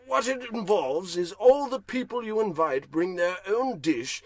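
A man playing a deferential, formal butler with measured politeness, in an old-time radio comedy. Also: high voice, lively intonation, darkish tone. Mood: politely suggesting.

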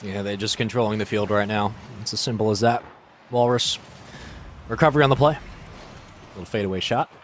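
A man giving casual color commentary on a high school esports match. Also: fairly even intonation, brisk, long pauses, slight background noise. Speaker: esports co-caster.

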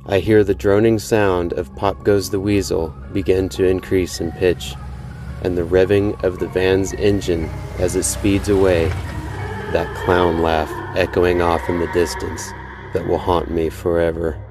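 Male horror podcast narrator, deep voice, suspenseful first-person delivery over music. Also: flat delivery, unhurried. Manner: dramatic, suspenseful storytelling. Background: music behind the voice.

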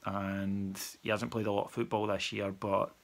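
A man's voice: fairly low pitch, little pitch movement, normal speed, gravelly. A man, giving a dry, wry post-match reaction as podcast host, recorded on a phone at arm's length in a small room. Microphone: phone camera at arm's length.

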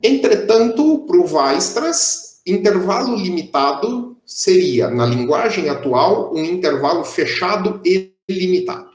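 A young man with a fairly high voice lectures on mathematics from a room with audible echo. He talks in a steady, explanatory way and drops "é" fillers between his points. The sound comes through a computer mic on a video call. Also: measured pace, hardly any pauses. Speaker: math lecturer. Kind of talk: online math lecture.

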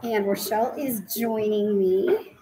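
A woman in her sixties visiting a wig shop as a guest on a livestream, teasing and lively in casual chat. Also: medium pitch, a little hiss or hum, poor audio. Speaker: customer guest.

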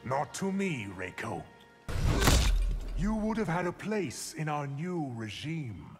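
An actor playing a scheming, treacherous sorcerer in a video game, speaking in a deliberate, mocking, theatrical way. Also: male voice, on the high side, very animated, exaggerated voice. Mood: smug. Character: scheming, sneering male sorcerer, treacherous and menacing. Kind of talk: video game villain acting. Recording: long reverb under loud game music.

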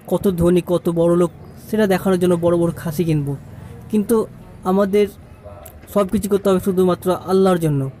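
A man's voice: high voice, mellow, a little hiss or hum, lightly acted. A man voicing an exclamatory, showy young nephew in an amateur cartoon. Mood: remorseful. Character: showy young nephew, boastful, later remorseful.

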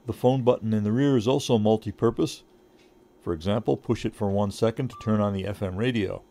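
A middle-aged man reading a scripted product review in a measured, informative way. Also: on the low side, mellow.

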